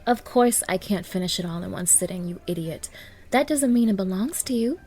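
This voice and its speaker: A woman's voice: medium pitch, lively intonation, fairly quick, warm tone, husky. A woman playing a haughty, demanding young gold digger on a date, scolding and condescending in an audio roleplay. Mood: scornful. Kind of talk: ASMR-style dramatic roleplay.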